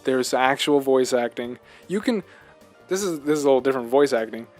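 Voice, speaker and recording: A man's voice: medium pitch, lively intonation, fairly quick, a little mellow, soft background music. A man co-hosting a casual video game chat, gushing with enthusiasm and rambling from thought to thought. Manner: rambling, jumps between thoughts. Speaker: podcast host.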